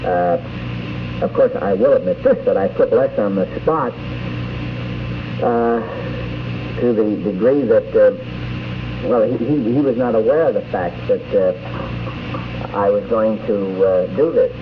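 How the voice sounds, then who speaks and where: A man hosting a radio show, talking casually and off the cuff in a halting, deliberate way. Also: lowish voice. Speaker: radio host and interviewer. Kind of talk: casual spontaneous radio talk. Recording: muffled 1950s tape, noisy background.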